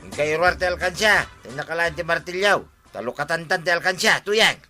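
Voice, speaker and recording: An eager, insistent husband in a radio comedy drama. His voice is rather high and very bright, almost piercing, and exclamatory. Music plays behind him. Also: a little fast. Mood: insistent, excited. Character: excitable husband eager to buy a tricycle.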